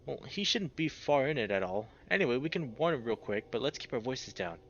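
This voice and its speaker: A man voicing a worried, protective male bear from a visual novel, with hushed urgency and tenderness. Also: medium pitch, lively intonation, brisk, dull audio, a little hiss or hum. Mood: urgent, hushed.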